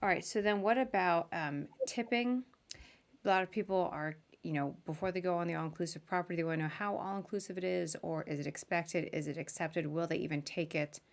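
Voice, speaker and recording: A woman's voice: lowish voice, brisk. A woman hosting a casual live interview, chatty and informal as she puts questions to her guest. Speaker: podcast host.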